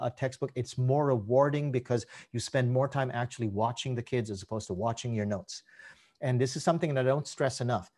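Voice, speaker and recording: A man in his fifties who grew up in Canada, giving an energetic, persuasive online conference talk about a teaching method over a webcam. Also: mid-range voice. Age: 50s. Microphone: webcam on a video call.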